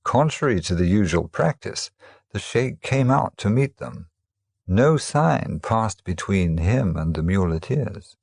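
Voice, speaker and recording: A man narrating traditional teaching stories as a storyteller, in a measured, formal manner. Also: fairly low pitch, lively intonation, gravelly, darkish tone, breathy edge, no room sound, studio quality. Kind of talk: scripted narration of teaching tales.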